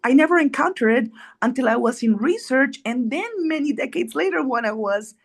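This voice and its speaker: A woman's voice: high voice, animated, a little fast, gravelly, slightly rough. A middle-aged woman from Colombia, an immunologist guest explaining science in a podcast interview, earnest, often checking in with "right?".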